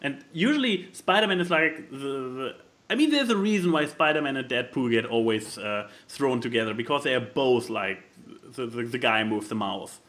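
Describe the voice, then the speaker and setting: A man chatting casually about films as a podcast host, in an animated, rambling way that often stalls and repeats itself mid-thought. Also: mid-range voice, moderate pace. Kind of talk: casual film chat.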